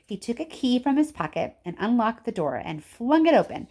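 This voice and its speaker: A woman's voice: a little high, big pitch swings, normal speed, mellow. A woman narrating a children's book expressively, like a teacher reading aloud. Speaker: story reader, teacher.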